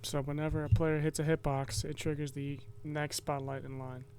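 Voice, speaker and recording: A man with a fairly high, even-toned voice narrates a devlog in a casual, hesitant way. He rambles a little as he explains, with little melodic movement. A faint hum sits behind the recording. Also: normal speed. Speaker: game developer and video host.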